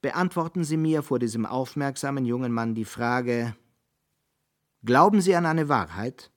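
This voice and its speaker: An actor playing an eloquent Italian humanist idealist, courteous yet theatrically rhetorical, in a radio drama. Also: male voice, medium pitch, expressive, a little slow, lightly acted. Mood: earnest, challenging. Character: high-minded humanist Italian gentleman, eloquent idealist debater. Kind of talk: German radio drama acting.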